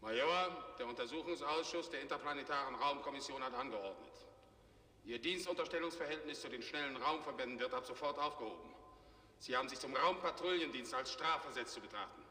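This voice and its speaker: An actor playing a stern, commanding middle-aged space fleet general, clipped and formal, in 1960s German TV science fiction. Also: male voice, medium pitch, brisk, pushed and bright, lightly acted. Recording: reverberant, noisy 1960s TV soundtrack.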